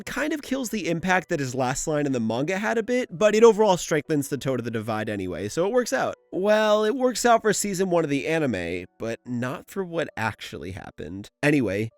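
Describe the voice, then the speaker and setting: A man's voice: on the high side, no room sound. A young man giving casual, conversational scripted narration as a video essayist. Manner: informal, conversational.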